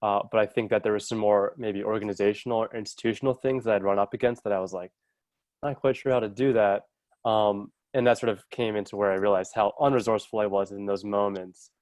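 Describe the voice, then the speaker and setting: A man's voice: fairly low pitch, steady tone, fast talker, a little mellow, no echo. A young man in his twenties, a recent college graduate volunteer, answering thoughtfully and hedging with "I guess" and "sort of". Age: 20s. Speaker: service corps volunteer. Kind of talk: reflective answers.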